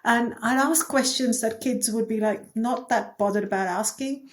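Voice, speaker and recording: A woman's voice: a little high, normal speed. A woman from India, speaking as a podcast guest in a thoughtful, candid conversational manner. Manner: thoughtful, candid, light laughs. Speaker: podcast guest, agency co-founder. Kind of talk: reflective conversational interview.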